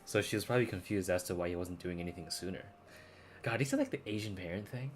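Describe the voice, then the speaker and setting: Male streamer, very low pitch, casual rambling commentary, stammered repeats, faint background noise. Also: sing-song, fast talker.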